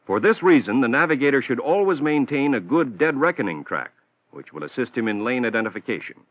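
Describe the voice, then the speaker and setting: American male narrator for a 1960s training film: formal, explanatory, lively intonation, dry muffled soundtrack. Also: medium pitch, a little fast, dry recording. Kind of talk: scripted instructional narration. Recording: dull, band-limited 1960s film soundtrack.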